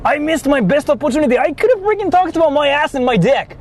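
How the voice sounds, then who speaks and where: A young Japanese man with a Japanese accent, live-streaming casually from city streets, excitable and swearing freely as he talks to his chat. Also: extremely high pitch, fast, a little hiss or hum.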